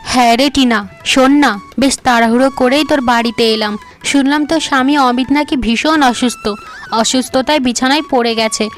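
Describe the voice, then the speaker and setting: A woman voicing a friendly, concerned village visitor in a cartoon, brisk and caring. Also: high-pitched, steady tone, soft background music, close to an everyday voice.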